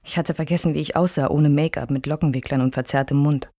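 A woman's voice: low-pitched, fairly even intonation, fast, heavy background noise. An actress playing a weary wife and mother who recalls her troubled marriage in restrained, literary radio play narration. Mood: tense, bitter.

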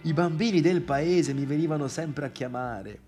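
A man's voice: fairly high pitch, normal speed, a little mellow, faint music behind, close to an everyday voice. An actor playing an awkward, needy, wounded man in a theatrical monologue, with a Tuscan accent and hesitant, repetitive phrasing. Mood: wistful. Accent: Tuscan Italian. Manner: hesitant, stammering repetitions and questions. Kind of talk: theatrical monologue, halting one-sided dialogue.

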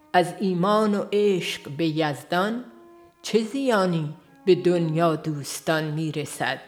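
A woman reading a religious book aloud as an audiobook, in a measured, earnest way that leans on rhetorical questions. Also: lowish voice. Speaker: audiobook reader.

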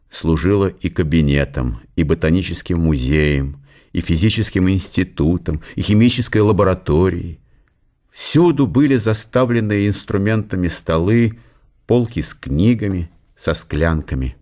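A man's voice: sits low, measured pace, dark tone. A man giving a scripted literary narration in a radio drama.

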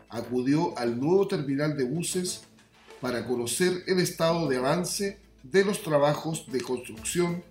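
A man's voice: on the high side, darkish tone. A man with a Chilean accent presenting a scripted radio news bulletin. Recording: roomy echo, music bed behind. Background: music.

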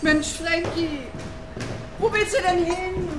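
A woman's voice: very high-pitched, slow pace. An actress playing a boozy, naive old woman who rambles and stumbles pleadingly over words in a radio drama. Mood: defensive, pleading. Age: older.

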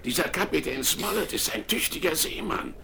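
A scheming one-legged pirate talks low and sly with a gravelly edge. Loud vinyl hiss runs under his voice on this old radio play. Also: animated, normal speed, exaggerated voice. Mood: conspiratorial. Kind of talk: children's radio play acting.

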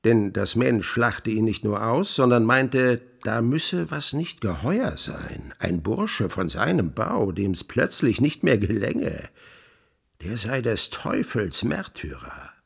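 A man playing a grandiloquent scholar in a radio play, recounting witchcraft lore with relish in declamatory, archaic phrasing and emphatic pauses. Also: on the low side, big pitch swings, creaky, slightly rough, exaggerated voice. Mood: relishing, dramatic. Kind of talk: theatrical literary storytelling in a radio play.

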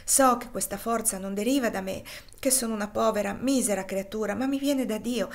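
A woman voicing a humble, devout older enslaved man who speaks softly, tenderly and pleadingly, as in an audiobook. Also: mid-range voice, brisk, lightly acted. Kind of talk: audiobook character reading.

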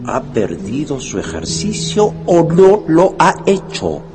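An actor playing a stern, irritable schoolteacher in a radio drama, with clipped, authoritative delivery. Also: male voice, fairly high pitch, wildly swooping pitch, slow, heavily acted. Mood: stern, demanding. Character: stern, irritable male schoolteacher calling the roll. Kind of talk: Spanish-language radio drama acting. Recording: long hall reverb, music behind.

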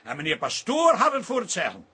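An actor playing a bitter farmer's son from Twente in a radio play, sardonic and halting as he talks. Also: sits high, wildly swooping pitch, bright, forceful tone, slightly rough, full character voice. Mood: wounded, resentful.

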